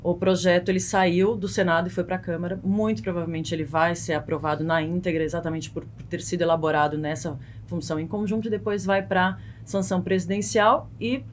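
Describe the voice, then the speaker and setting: A woman's voice: on the low side, fairly even intonation, fairly quick, hardly any pauses, a little hiss or hum. A woman in her thirties with a Brazilian accent explains things conversationally as an expert podcast guest, often checking in with short tag questions. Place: small foam-padded podcast studio. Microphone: close studio mic on boom arm.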